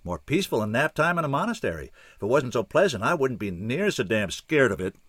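A man's voice: medium pitch, big pitch swings, fast talker, a bit bright, breathy edge, dry recording. A man voicing a folksy, playful fellow in a story who talks with easygoing relish. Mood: wistful, easy.

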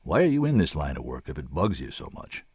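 A man voicing a shrewd, gruff bartender who speaks bluntly and plainly. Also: extremely low pitch, very animated, fast, a little mellow, dry recording, clean studio sound, voice pushed down, full character voice. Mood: dry. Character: gruff big-handed male bartender, shrewd.

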